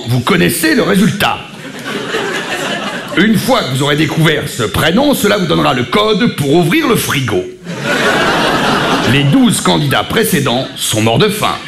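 A man playing a mock reality-show announcer with a smoky, gravelly voice and a deadpan, solemn delivery. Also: a little high. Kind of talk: comedy sketch. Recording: echoey room with loud ambient noise.

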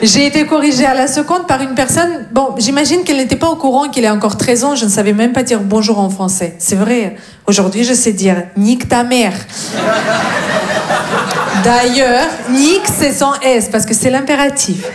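A woman's voice: a little high, moderate pace. A woman with a Russian accent, a native Russian speaker, performing a cheeky, teasing stand-up monologue with some swearing to a live audience. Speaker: stand-up comedian. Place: live comedy stage before an audience.

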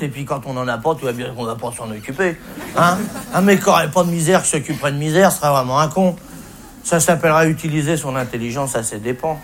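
A male stand-up comedian delivering a colloquial, sweary, faux-naive rant on stage. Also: sits high. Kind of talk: comic stage monologue. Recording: noisy live recording with audience. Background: audience laughter.

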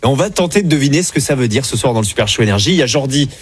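Fast-talking upbeat radio host man. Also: mid-range voice, lively intonation, husky, no echo. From Landes, southwest France. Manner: upbeat, emphatic, quick patter. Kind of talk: energetic radio banter.

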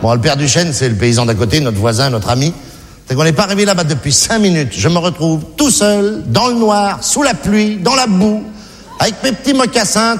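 Animated high male stand-up comedian. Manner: lively storytelling, mock complaints. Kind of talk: observational stand-up monologue. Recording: live hall recording aired on radio.

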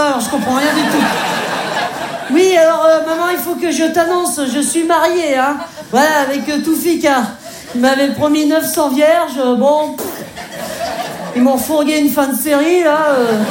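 A woman's voice: high-pitched, steady tone, moderate pace, close to an everyday voice. A woman performing a comedy sketch as a naive young man phoning his mother, rambling and hesitant with many fillers. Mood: whiny. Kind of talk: stand-up character sketch. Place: stage before a live audience. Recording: echoey live stage, audience noise.

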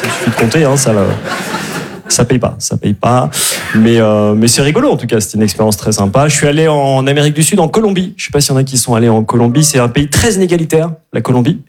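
A man's voice: mid-range voice, a little mellow. A man performing a stand-up set, ironic and mock-snobbish, addressing a small live audience. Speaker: stand-up comedian. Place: comedy club stage.

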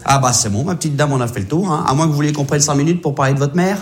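A man's voice: a little high, rapid-fire, lightly acted. A man playing a matter-of-fact psychologist who works as a car mechanic, chatting familiarly to a customer in a comedy sketch. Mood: matter-of-fact, coaxing. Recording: noisy recording with clicks.

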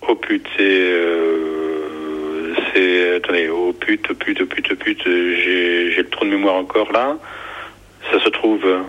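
A man's voice: deep voice, steady tone, slow. A man, a detective, answering the phone in a hesitant, bewildered way. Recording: dull phone line.

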